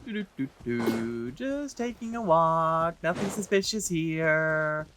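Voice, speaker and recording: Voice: medium pitch, animated, takes it slowly, background noise. A let's play streamer giving casual gameplay commentary, playfully thinking aloud.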